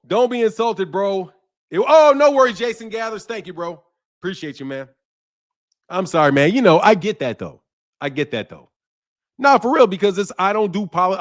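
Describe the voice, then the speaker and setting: Man in his fifties, boxing channel host, blunt, fired-up, bit high voice. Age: 50s. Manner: blunt, fired-up, laughs often. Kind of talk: live commentary to viewers.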